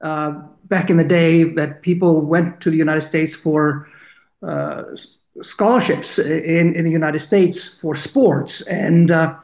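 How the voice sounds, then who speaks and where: A man in his fifties speaks as an interview guest in a slightly higher voice. He halts and repeats words as he talks, with an occasional chuckle. His voice carries a roomy echo. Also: fairly even intonation, slow, darkish tone. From Iceland. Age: 50s. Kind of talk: casual interview talk. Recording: echoey room.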